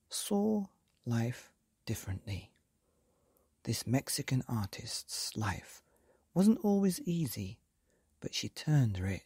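A man hosting a bedtime story podcast, reading aloud in a soft, breathy half-whisper that lilts gently as he goes. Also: mid-range voice, no room sound, studio quality. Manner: slow, gentle and lilting.

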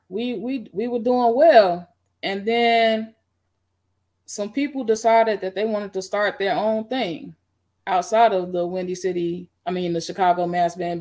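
A middle-aged woman on a video call, recounting her band history in a relaxed, rambling way with many fillers. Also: lowish voice. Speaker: guest band musician. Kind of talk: casual interview. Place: home room.

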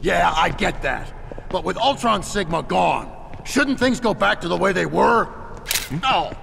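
An actor playing a brash, impatient action hero in a video game, with blunt delivery. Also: male voice, high voice, expressive, unhurried, creaky, a bit bright, exaggerated voice. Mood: exasperated. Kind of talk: video game cutscene acting. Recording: hall reverb, faint background noise.

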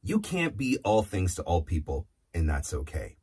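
A man in his forties speaks as a coach in a fairly low voice with a husky edge. His delivery is conversational and animated, leaning on key words and putting questions to the listener. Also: moderate pace. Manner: conversational, emphatic, poses rhetorical questions. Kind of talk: instructional talk to camera. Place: small indoor studio or office. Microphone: lapel mic.

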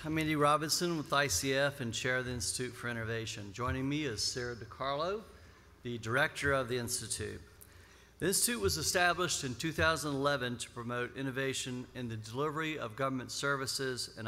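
Bright-voiced man at a podium. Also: mid-range voice. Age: middle-aged. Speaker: institute chair, conference speaker. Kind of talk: prepared formal remarks. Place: large hotel ballroom, on stage. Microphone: podium microphone. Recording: reverberant hall PA sound.

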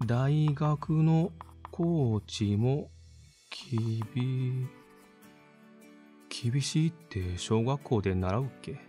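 A man's voice: medium pitch, faint music behind. A young man playing a laid-back, sincere university student in a voice drama monologue, musing as he writes. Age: young adult. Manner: unhurried, musing, mellow. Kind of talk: voice drama monologue, thinking aloud while writing.

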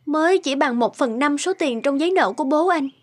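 Young wife, cartoon dub, high voice, anxious, soft temper. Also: female voice, animated, brisk. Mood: worried. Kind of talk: animated moral-tale dubbing.

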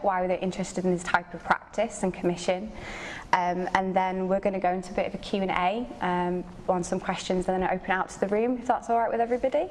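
Lady losing her voice welcoming people to a gallery talk, bit of noise, camera far off. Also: mid-range voice. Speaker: gallery creative producer introducing a talk. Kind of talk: informal welcome talk to an audience. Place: large gallery room with seated audience. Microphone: distant camera microphone. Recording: noisy distant room recording.

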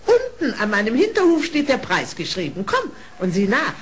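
A wily mother mare from a radio play. Her voice is high and sings up and down as she coaxes theatrically. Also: huge pitch swings, moderate pace. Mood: sly, polite. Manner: coaxing, theatrical.

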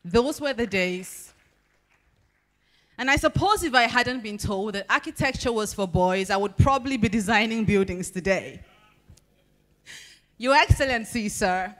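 Young Nigerian woman reciting spoken word. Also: mid-range voice. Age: young adult. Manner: measured, expressive recitation with deliberate pauses. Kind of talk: spoken word poetry performance. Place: large banquet hall at a podium. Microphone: handheld microphone.

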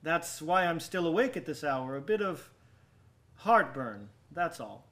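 An actor in a radio drama playing an over-polite, nervous murderer with a genteel, halting way of talking. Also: male voice, a little high, very animated, a bit bright. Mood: nervous politeness. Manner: genteel, overly courteous, halting. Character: nervous, over-polite male murderer hiding guilt from police.

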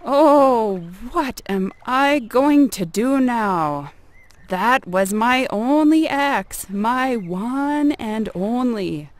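A woman voicing a greedy, scheming male villager with drawn-out, exaggerated pleading in a storybook tale. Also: mid-range voice, lightly acted. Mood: fake despair. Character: greedy scheming male neighbor feigning misery.

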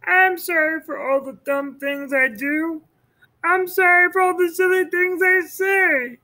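A man voicing an anxious, eager male toad, high and pleading, in a storybook read-aloud. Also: fairly even intonation, unhurried, sharp tone, full character voice.

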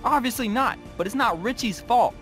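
A man as a high-voiced, hot-headed young guy. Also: expressive, moderate pace, a bit bright, soft background music. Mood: defensive. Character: hot-headed, stubborn young man protecting his friend. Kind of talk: amateur Minecraft roleplay acting.

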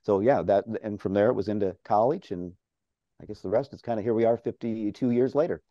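A man's voice: on the low side, brisk, dry recording. An older man, a longtime radio broadcaster, chatting animatedly as an interview guest over a video call, laughing often. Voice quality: strong voice. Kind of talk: casual storytelling. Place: home office. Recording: dull video-call audio.

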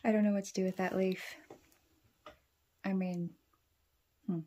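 A woman's voice: medium pitch, little pitch movement, a little slow, mellow, slight background noise. Self-deprecating woman musing to herself. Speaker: craft vlogger. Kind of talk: casual talk while drawing in a journal. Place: indoors at a wooden table.

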